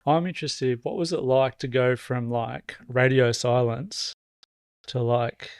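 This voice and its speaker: A man hosting a podcast, calm and easy-going, asking open questions. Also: medium pitch, measured pace, clean tone, no echo, studio quality. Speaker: podcast host. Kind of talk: casual chat.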